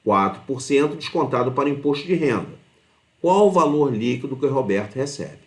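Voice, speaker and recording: A man's voice: medium pitch, expressive, a little fast. A man with a Brazilian accent giving an informal step-by-step math lesson as a teacher, often checking understanding with short tag questions. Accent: Brazilian Portuguese. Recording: roomy, echoing voice.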